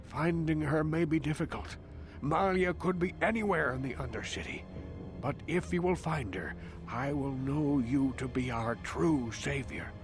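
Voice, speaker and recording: A man's voice: on the high side, measured pace, music in the background. A man voicing a hundred-year-old village outcast in a video game, a mystical, wary elder who speaks in formal, portentous questions. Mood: solemn, hopeful. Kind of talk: theatrical video game voice acting.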